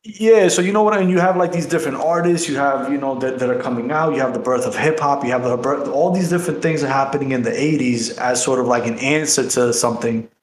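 A man's voice: medium pitch, fast, hardly any pauses, echoey room. A middle-aged man chatting casually as a podcast host, rambling and slangy.

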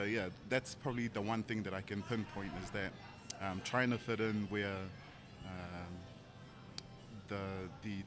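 Soft-spoken Tongan-born man over harmonica music. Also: low voice. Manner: thoughtful, frequent ums and restarts. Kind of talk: reflective personal story. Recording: harmonica music playing behind.

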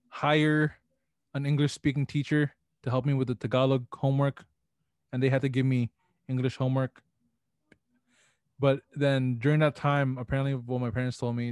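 A man's voice: medium pitch, a little mellow, dry recording, clean studio sound. A young man from the Philippines co-hosting a podcast, chatting casually in loose slang and laughing often. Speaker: podcast co-host.

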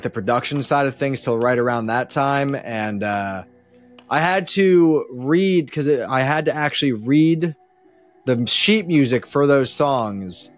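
A man chatting casually as a podcast guest, explaining in a halting, self-effacing way. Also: mid-range voice. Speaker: podcast guest, musician and composer. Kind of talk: casual chat.

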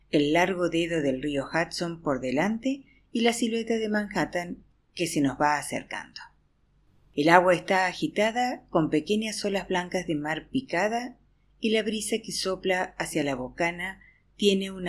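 A woman narrating an audiobook in the first person, acting out a weary teacher's chatter to a class. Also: fairly low pitch. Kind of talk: expressive audiobook reading.

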